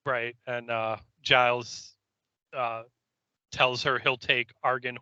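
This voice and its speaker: Very bright-voiced male podcaster, slightly dull recording. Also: medium pitch, little pitch movement, slow, clear, resonant tone, no echo. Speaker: podcast co-host. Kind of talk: casual chat.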